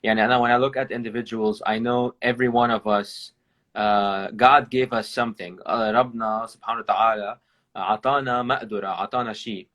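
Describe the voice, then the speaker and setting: A man from Lebanon with a Lebanese accent, a guest talking over a video call, mixing English and Arabic with many pauses. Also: lowish voice, fairly even intonation, a bit bright, lo-fi sound. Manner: switches between English and Arabic mid-sentence; talks with many hesitations and restarts. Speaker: podcast guest. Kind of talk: casual interview over a call.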